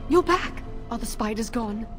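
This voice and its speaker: An actress playing a grateful, friendly senior mage in a fantasy video game. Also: female voice, on the high side, lively intonation, moderate pace, fairly bright tone, faint music behind. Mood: anxious hope.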